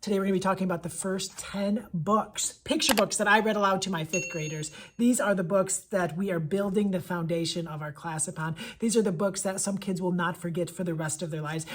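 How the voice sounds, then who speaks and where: A woman who teaches elementary school, giving casual, enthusiastic and emphatic book recommendations, repeating phrases for effect. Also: lowish voice. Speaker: elementary school teacher reviewing picture books.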